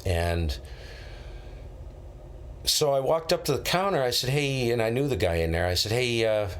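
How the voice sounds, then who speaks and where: A man's voice: mid-range voice, animated, normal speed, a bit bright, a little hiss or hum. A middle-aged American man hosting a live stream, telling rambling anecdotes in a casual, conversational way and acting out bits of dialogue.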